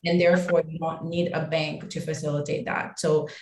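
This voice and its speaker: Low-voiced woman in an echoey room. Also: level tone, background noise. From Haiti. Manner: measured, halting, with frequent restarts. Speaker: fintech founder on a webinar panel. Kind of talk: panel discussion answer. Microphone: webinar call microphone. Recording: roomy echo on a video call.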